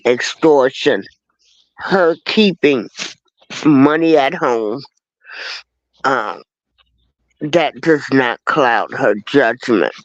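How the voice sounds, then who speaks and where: A man speaks as a guest on a casual livestream. His delivery is slow, calm and measured at a middle pitch. His voice comes through dull lo-fi remote audio with no room sound around it. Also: no room sound.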